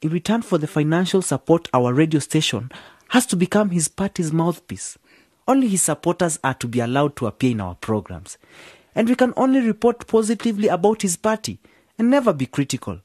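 A man's voice: fairly high pitch, sing-song, fairly quick, a little mellow, no room sound, clean studio sound. An actor playing an earnest young male journalism student who runs a community radio station. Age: young adult. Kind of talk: radio drama acting.

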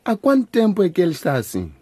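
An actor playing a calm, concerned hospital doctor in a radio drama, measured and courteous. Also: male voice, high-pitched, slightly rough, no room sound, heavily acted.

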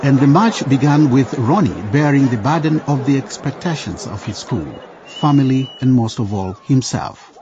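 A man's voice: mid-range voice, moderate pace, crowd noise, noisy background. A man narrating a scripted radio drama as a storyteller, suspenseful, with playful asides to the listener. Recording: dull radio mix with music. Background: music.

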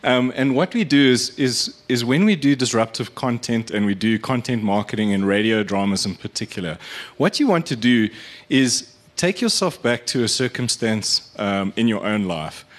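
A man's voice: medium pitch, expressive, moderate pace. A man giving an informal, earnest, explanatory presentation to an audience. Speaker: media agency speaker. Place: live event venue.

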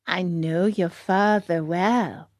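A sly, lame storybook fox, as a woman reader puts him on. He talks slowly and courteously, drawing out his words to win trust like a swindler. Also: fairly low pitch, fairly even intonation, darkish tone, no room sound. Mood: solemn. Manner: slow, drawn-out, ingratiating.

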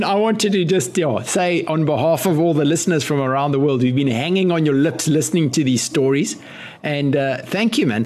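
A man hosting a podcast interview, talking in a friendly, effusive way and ending remarks with a questioning "eh". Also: on the high side. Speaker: podcast host.

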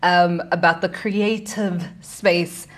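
Lady host asking questions, lowish voice, lots of ums. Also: steady tone, takes it slowly. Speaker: interviewer. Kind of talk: podcast interview.

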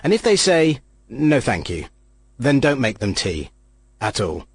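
A man's voice: mid-range voice, sing-song, unhurried, gravelly, slightly rough, no echo. A man giving a comic mock-lecture and acting out the voices he quotes. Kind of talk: comic monologue.

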